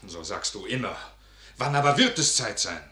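An actor playing an impatient, distrustful noble confidant, speaking verse with pointed reproach in a 1955 German radio drama. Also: male voice, on the low side, animated, normal speed, pushed and bright. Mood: impatient, wary. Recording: roomy 1955 radio studio recording.

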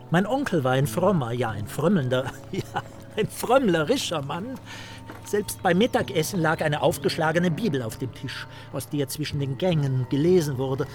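An actor in a German radio play playing a courteous, self-important man who tells tales in a formal, drawn-out, theatrical manner. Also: a little high, darkish tone, natural voice. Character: Mr Hund, courteous, self-important storyteller savouring his sinister tale.

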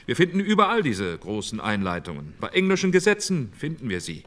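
A man's voice: mid-range voice, huge pitch swings, a little fast, a bit bright. An actor playing a formal, argumentative post-war committee chairman in a radio drama. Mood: insistent, critical. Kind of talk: radio drama re-enactment of a parliamentary debate.

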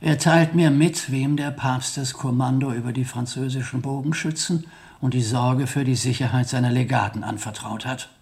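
A man's voice: medium pitch, creaky, a little mellow, lightly acted. Formal medieval abbot played by a man. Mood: grave, formal. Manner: formal, measured. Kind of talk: radio play acting.

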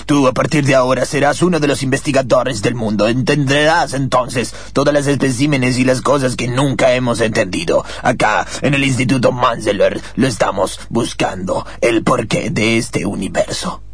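A man's voice: mid-range voice, moderate pace, hardly any pauses, husky, no echo. A man voicing a solemn, mysterious veteran investigator of aliens in a radio drama. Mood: solemn explaining.

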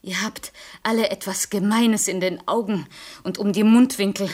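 An actress playing a defiant young princess in a classical radio drama, declaiming with intense contempt. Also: female voice, mid-range voice, steady tone, normal speed, a little mellow, natural voice. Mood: cold scorn. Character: defiant, scornful young princess who demands everything or death.

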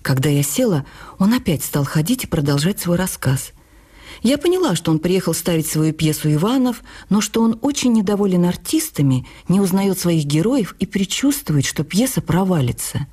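An actress playing a woman writer recalling her youth in an expressive, literary radio memoir reading. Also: lowish voice, normal speed, breathy edge. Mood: reflective storytelling.

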